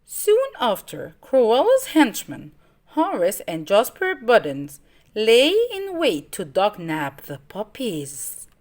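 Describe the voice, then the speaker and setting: A woman storyteller reading aloud to children in an expressive, simply worded way with dramatic emphasis. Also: a little high, takes it slowly.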